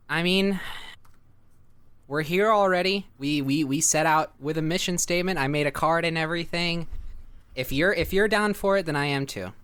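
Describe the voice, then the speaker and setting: A woman doing conversational improv in a comedy podcast, halting and stammering as she goes. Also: deep voice, a bit bright, smooth.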